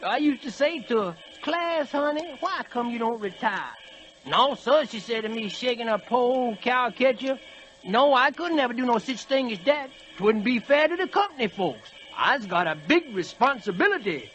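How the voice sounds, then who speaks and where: A man's voice: very high voice, expressive, normal speed, fairly bright tone, muffled recording, soft background music. A man playing a folksy, weary old freight locomotive with an American Southern accent, in a 1940s radio play. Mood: mournful. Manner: folksy, rambling dialect talk.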